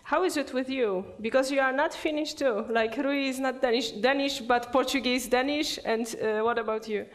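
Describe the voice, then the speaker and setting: A woman's voice: sits high, moderate pace, hardly any pauses. A woman interviewing guests at a conference, asking short prompting questions. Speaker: interviewer, TV magazine host. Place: conference venue, indoors.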